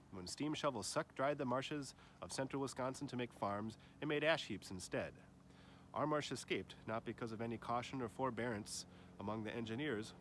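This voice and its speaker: A man reading a literary nature essay aloud in a measured way, his phrases often trailing off softer and lower. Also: a little hiss or hum.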